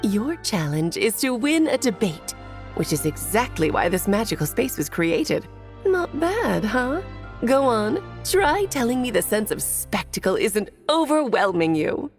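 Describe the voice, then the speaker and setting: Playful, theatrical witch, lilting highish voice. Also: very animated, unhurried, faint music behind. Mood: showy, grand. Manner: theatrical, teasing. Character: Alice, playful theatrical witch, breezy, smugly teasing. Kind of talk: video game cutscene voice acting.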